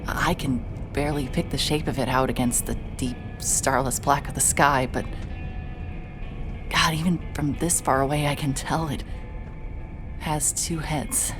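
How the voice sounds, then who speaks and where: An actress playing a hard-hearted, sleep-deprived woman private investigator in a horror audio drama, speaking in a weary, halting way. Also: very deep voice, fairly even intonation. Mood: uneasy, weary. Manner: weary, halting, stammering under stress. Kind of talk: noir-like first-person audio drama narration. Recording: music behind the voice.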